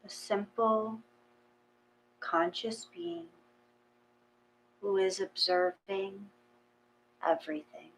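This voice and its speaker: Female meditation reader: calm, gentle, unhurried delivery with generous pauses, noisy humming background. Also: medium pitch. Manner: calm, measured, with long pauses between short phrases. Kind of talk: gentle guided meditation, read from a text.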